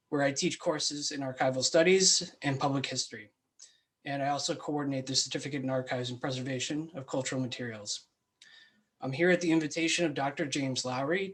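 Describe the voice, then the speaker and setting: A man hosting an online academic event, giving a measured, formal introduction as if reading from notes. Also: mid-range voice. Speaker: host introducing a speaker, assistant professor. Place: online video call.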